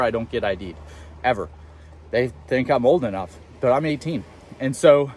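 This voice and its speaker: A man's voice: mid-range voice, a little mellow, a little hiss or hum. An eighteen-year-old man vlogging outdoors on a beach, giving emphatic motivational advice straight to camera. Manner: fast, emphatic self-help preaching. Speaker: young entrepreneur vlogger. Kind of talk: motivational vlog talk to camera.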